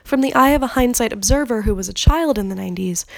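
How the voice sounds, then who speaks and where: A woman's voice: on the high side, fast talker, vocal fry, no room sound. A woman in her thirties hosting a solo podcast in a candid, conversational way, swearing freely and sounding a little congested from a cold. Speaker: podcast host. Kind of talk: casual solo podcast monologue.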